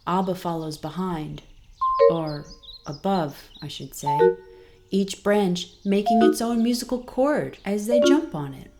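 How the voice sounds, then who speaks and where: A woman reading a scripted children's story as narrator, in an expressive, deliberate storytelling manner. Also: fairly low pitch, over loud music.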